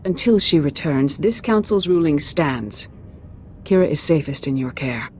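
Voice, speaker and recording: A woman's voice: low voice, animated, a little slow, darkish tone, slight background noise. A woman acting a composed, fair-minded Jedi grandmaster in a video game, speaking formally and with authority.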